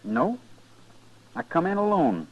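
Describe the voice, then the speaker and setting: A man's voice: a little high, sing-song, slow, husky, full character voice. An American man acting in an old-time radio western as a stubborn sixteen-year-old cowboy, terse, rustic and defiant. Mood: sullen. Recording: dull vintage radio recording.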